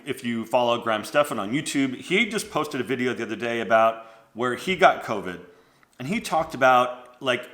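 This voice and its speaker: Stuffy-nosed man in bright, echoey chat. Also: mid-range voice. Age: 40s. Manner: rambling, self-interrupting, lots of "like". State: stuffy nose from a cold. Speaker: fashion channel host. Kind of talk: casual vlog chat.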